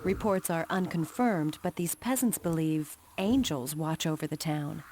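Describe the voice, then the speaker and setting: An actress playing a resolute female war commander in a game cutscene, speaking in a solemn, measured and formal way. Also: low-pitched, darkish tone. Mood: grave, resolute. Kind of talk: scripted cutscene narration. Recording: clearly noisy old game audio.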